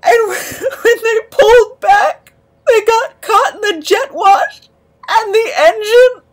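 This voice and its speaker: A woman playing an awkward, emotional misfit in an audio drama, hesitant and stammering, quick to put themselves down. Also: extremely high pitch, a little hiss or hum. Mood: sobbing, overwrought. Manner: hesitant, stammering, self-deprecating. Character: awkward, emotional, rambling misfit named Nap.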